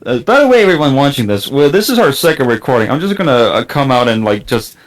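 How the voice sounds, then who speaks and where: A man's voice: mid-range voice, sing-song, fast talker, low-quality recording. A young man from Estonia, not a native English speaker, chatting casually on a gaming podcast, with many fillers and restarts. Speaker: podcast guest.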